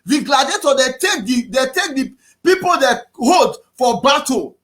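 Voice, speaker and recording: A man with a Nigerian accent giving an impassioned political talk, repeating himself and often raising his voice to a shout. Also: extremely high pitch, big pitch swings, moderate pace. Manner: preachy, repetitive, often shouting. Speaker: activist speaker.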